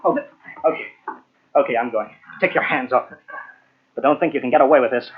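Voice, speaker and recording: A man's voice: medium pitch, fairly quick, lightly acted. An actor playing a brash, bullying newspaperman in an old-time radio drama, indignant and threatening. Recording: tinny old radio recording from cassette.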